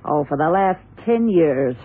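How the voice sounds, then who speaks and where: An actress playing a blunt, hard-bitten theatre dresser in a 1970s radio crime drama, talking candidly and colloquially. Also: female voice, deep voice, lively intonation, a little slow, breathy edge, full character voice. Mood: guarded, frank. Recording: tinny, band-limited old radio recording.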